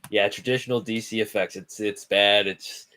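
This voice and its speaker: A man's voice: on the low side, steady tone, moderate pace, sharp tone. A man chatting casually as a panelist on a movie show, blunt and exasperated, repeating himself for emphasis. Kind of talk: casual chat.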